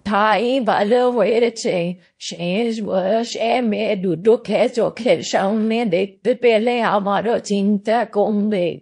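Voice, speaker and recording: A woman's voice: mid-range voice, fairly even intonation, slow, darkish tone, slightly rough. A woman voicing a worried elderly village mother in a Bengali cartoon.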